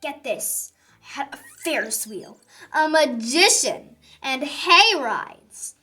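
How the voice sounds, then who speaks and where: A woman's voice: high voice, very animated, takes it slowly, sharp tone. A woman reading a children's picture book aloud in a theatrical, emphatic narrating style. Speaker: children's story reader. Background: music.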